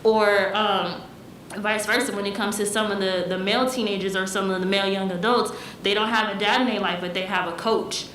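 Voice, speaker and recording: Young female panelist from the US, mid-pitched, conversational and explanatory, in a reverberant room with faint noise. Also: steady tone, a little fast, a bit bright. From United States. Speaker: town hall panelist. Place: echoey room at a town hall forum.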